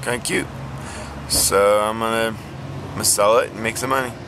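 Guy with a low voice doing a casual flea market vlog, lots of ums, phone cam, faint street and car noise behind. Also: steady tone. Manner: plain, halting, many ums and restarts. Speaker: flea market reseller vlogger. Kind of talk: casual handheld vlog narration.

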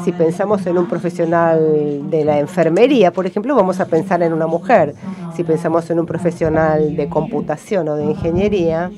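A woman giving simultaneous interpretation at a conference, rendering the speech phrase by phrase with some halting. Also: low voice, a crowd in the background.

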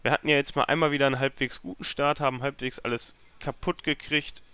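A man's voice: mid-range voice, fairly even intonation, fast talker, sharp tone, smooth. A man hosting a let's play with casual, self-deprecating and grumbling commentary into a microphone. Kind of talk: casual video game commentary.

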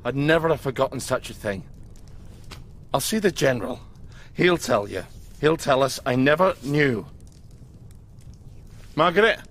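A man playing an excitable, stubborn middle-aged British father in a dramatic video game performance, gruff and defensive. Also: medium pitch, unhurried, pauses often. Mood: insistent.

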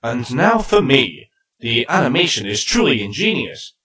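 A man's voice: mid-range voice, sing-song, normal speed, sharp tone, roomy sound, far from the mic. A man voicing a laid-back, dry adult ninja mentor in an anime parody dub, understated in manner.

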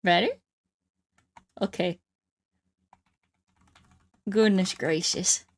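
A woman's voice: fairly low pitch, very slow. A woman with a put-on Southern US drawl chatting casually to livestream viewers in a folksy, exclamatory country-bumpkin way while gaming. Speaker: livestream host playing a video game. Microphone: close streaming microphone.

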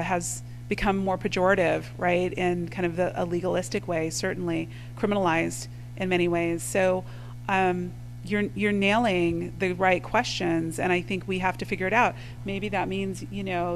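Woman panelist, lowish voice, conversational with fillers, faint hum, hall recording. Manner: thoughtful, conversational, many fillers. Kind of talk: panel discussion answer. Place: lecture hall with audience.

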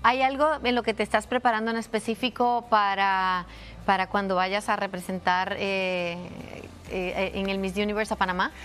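A woman's voice: mid-range voice, fairly even intonation, normal speed, fairly bright tone. A middle-aged woman hosting a television studio interview, asking questions with hesitant fillers. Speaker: TV host. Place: TV studio set.

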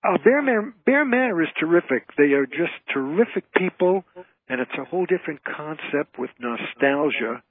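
A man's voice: fairly high pitch, animated, moderate pace, breathy edge, dry recording. An older man, an entertainer talking as an interview guest, emphatic and given to repeating his phrases. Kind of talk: conversational interview. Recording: thin, tinny phone line.

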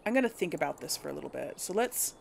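A woman's voice: low-pitched, expressive, fast talker, vocal fry. A woman doing casual game commentary, thinking aloud in a tentative way. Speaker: gaming video host and player. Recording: some background noise.

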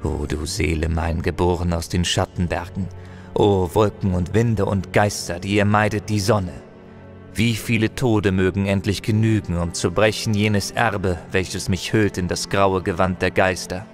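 A man's voice: low-pitched, fairly even intonation, unhurried, gravelly, soft background music. A man acting a solemn, eloquent wandering adventurer and chronicler in an audio drama, with theatrical delivery. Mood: solemn, brooding.

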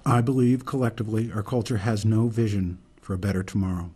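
An actor as a low, husky, solemn reformer. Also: male voice, fairly even intonation, warm tone. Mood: grave, urgent. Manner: deliberate, solemn, speechifying. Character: earnest older male reformer laying out a grave case. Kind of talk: radio drama monologue, oratorical.

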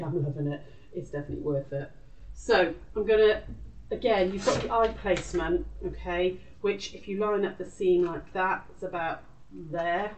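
A woman's voice: lowish voice, darkish tone, lo-fi sound, slight background noise. A British woman in her forties giving a casual live craft demonstration, chatty and informal, sometimes muttering to herself as she works. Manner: chatty, informal, talks to self while working. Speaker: craft tutor and live show host. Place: indoor craft room. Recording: echoey room, distant microphone.

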